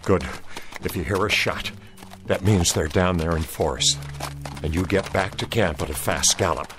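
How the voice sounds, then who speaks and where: An actor playing a steely Confederate scout who speaks in a gruff, commanding drawl in an old radio drama. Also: male voice, deep voice, moderate pace, over music, lightly acted. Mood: stern. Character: steely Confederate scout, young adult man, stern and dutiful. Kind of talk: 1960s radio drama acting.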